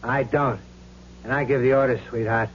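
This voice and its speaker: An actor playing a brash, vengeful ghost of a gangster in an old radio drama, bossy and sneering, on a muffled, noisy recording. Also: male voice, medium pitch, fairly even intonation, normal speed, natural voice. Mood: bossy, cocky. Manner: brash, bossy, sneering tough-guy slang. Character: cocky vengeful ghost gangster possessing another man's body.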